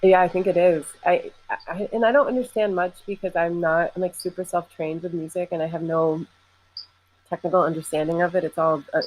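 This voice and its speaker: A woman's voice: lowish voice, steady tone, fairly quick, a little mellow, low-quality recording. A young adult woman musician in casual conversation, talking loosely with many "like" fillers and easy laughter. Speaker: singer and musician.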